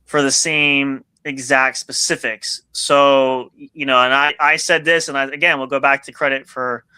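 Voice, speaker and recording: A man's voice: medium pitch, little pitch movement, moderate pace, sharp tone, smooth, no echo, rough recording. A man hosting a podcast in casual interview chat, rambling with lots of restarts and fillers. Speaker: podcast host.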